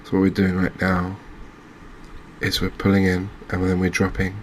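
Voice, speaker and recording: A man giving soft-spoken, gentle, unhurried gameplay commentary in an ASMR style. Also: deep voice, monotone, slight background noise. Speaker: host of a video game channel.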